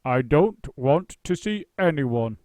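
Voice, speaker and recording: A man voicing a pompous, gruff older male stage actor who booms dramatically. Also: lively intonation, slow pace, darkish tone, no room sound. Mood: booming.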